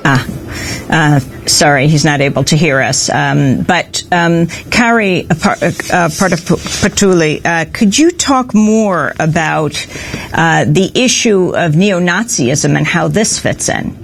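A woman hosting a live news interview, putting questions in a hesitant, halting way. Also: low-pitched. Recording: clear background ambient noise.

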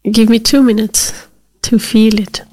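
A woman speaking slowly and reflectively, partly in a whisper. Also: a little high, level tone. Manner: slow, hushed, partly whispered. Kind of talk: reflective spoken piece.

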